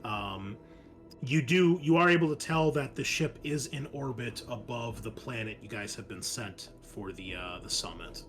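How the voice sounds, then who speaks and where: A man running a tabletop roleplaying game, narrating and explaining casually in a halting way. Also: mid-range voice, fairly bright tone, soft background music. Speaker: game master.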